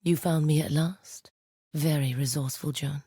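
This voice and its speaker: A woman playing a commanding, coldly seductive necromancer villainess in a video game, speaking in a grandiose, deliberate, menacing way. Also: sits low, steady tone, dark tone, slightly rough, no echo, studio quality. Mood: cold, taunting.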